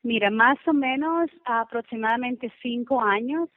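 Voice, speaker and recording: A woman's voice: a little high, a little slow, no echo. A woman, a podcast guest chatting casually over a call, searching for words and slipping into English. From Venezuela. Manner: hesitant word-searching, slips into English. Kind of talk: casual interview chat. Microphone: remote call. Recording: muffled narrow-band call, dry.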